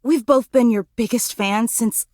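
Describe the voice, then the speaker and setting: Woman voicing a high-pitched, gushing, anxious superfan. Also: unhurried, a little mellow, no echo, clean studio sound, lightly acted. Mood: earnest, defensive. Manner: effusive, dramatic, self-deprecating. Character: gushing, anxious, adoring superfan, an animate household object. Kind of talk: video game character voice acting.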